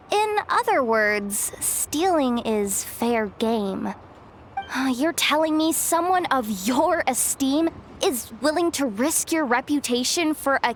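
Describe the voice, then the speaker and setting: A practical, skeptical young female guide in a game. She speaks slowly in a high voice with a measured manner, over faint ambient noise. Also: fairly bright tone, full character voice. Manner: measured, matter-of-fact, skeptical. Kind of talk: video game voice acting.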